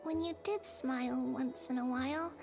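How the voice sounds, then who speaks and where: A little fantasy game companion with a high, childlike voice. She sounds sweet, diligent and earnest, with music clearly playing behind her. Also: moderate pace. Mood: gentle, caring. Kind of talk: scripted game voice acting.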